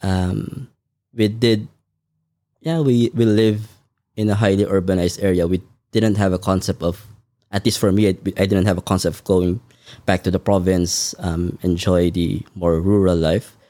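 Deep-voiced man. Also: fairly even intonation, dark tone, no room sound. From Philippines. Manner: measured, thoughtful, frequent ums. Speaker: podcast guest. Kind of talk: conversational interview.

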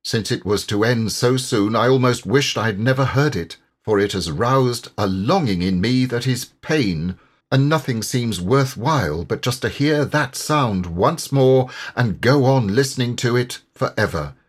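A man's voice: mid-range voice, lively intonation, measured pace. Man voicing a rapturous, yearning storybook water rat. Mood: spellbound longing. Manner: breathless, yearning exclamations. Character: rapturous male water rat, awestruck dreamy poetic animal. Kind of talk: audiobook character voice.

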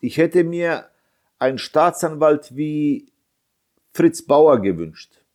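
A man's voice: fairly high pitch, lively intonation, slow, darkish tone. A man in his fifties from Germany, giving an impassioned interview as a bereaved father, indignant and emphatic, repeating his points and firing off rhetorical questions.

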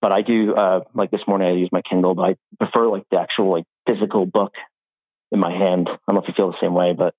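A man hosting a podcast, chatting in a relaxed way with many "like" fillers. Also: sits low, quick pace, breathy edge, dry recording. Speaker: podcast host. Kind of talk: casual interview chat. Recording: tinny phone-like line.

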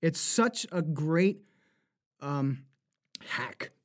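A man with a New Jersey accent, talking as an animated voice actor guest who laughs often and slips into accent demos. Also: on the high side, extremely slow. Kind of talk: casual interview chat.

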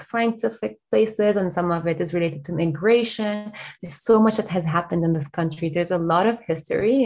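A woman's voice: lowish voice, a little mellow. A woman from Lebanon, a guest on an interview podcast over a remote call, answering earnestly and enthusiastically with frequent restarts.

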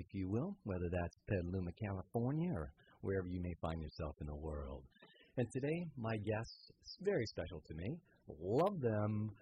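A man with a low voice hosts a casual interview show. He is effusive and quick to agree with his guests. There is clear noise behind him on the recording. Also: warm tone, dull audio. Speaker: radio and podcast host.

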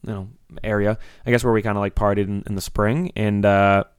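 A young man with a slightly raspy voice co-hosts a casual podcast, telling a long story in a rambling, animated way full of fillers. His voice sits a little low and comes through smooth and resonant, recorded close and dry. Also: brisk, no echo. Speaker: podcast co-host.

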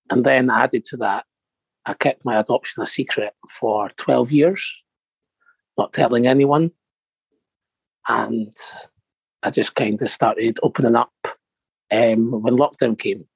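A man in his thirties, born in Morocco and raised in Scotland, speaking softly and hesitantly as an interview guest. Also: mid-range voice, steady tone, a little slow, creaky, pauses often, breathy edge, no echo, low-quality recording. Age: 30s. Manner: soft-spoken, hesitant with many ums. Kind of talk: personal interview.

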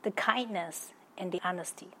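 A woman's voice: on the low side, normal speed, fairly bright tone, a little hiss or hum. Taiwanese-accented woman in simple English. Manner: simple second-language English, cheerful. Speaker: guest. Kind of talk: casual interview answers.

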